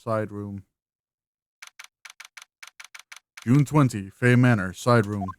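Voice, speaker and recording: A woman's voice: very deep voice, normal speed, darkish tone, faint music behind, pitched below the performer's own voice. A woman voicing an earnest young male defense lawyer, wryly skeptical, with dry asides in a video game dub. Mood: reflective. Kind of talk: video game dialogue and inner monologue.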